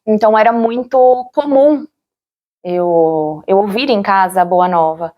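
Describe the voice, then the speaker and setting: A Brazilian woman giving an interview, recalling her experience in a reflective, halting way, searching for words and often checking for agreement. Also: medium pitch, dull audio. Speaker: interviewee, former radio drama actor. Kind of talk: interview, personal recollection.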